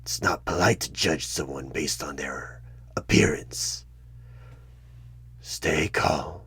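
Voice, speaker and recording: A man playing a sinister demonic tempter who poses as a job interviewer in a horror ASMR roleplay, coaxing with halting, menacing calm in a gravelly, breathy half-whisper. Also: very deep voice, heavily acted. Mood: eerily soothing. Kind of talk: horror ASMR one-sided audio roleplay.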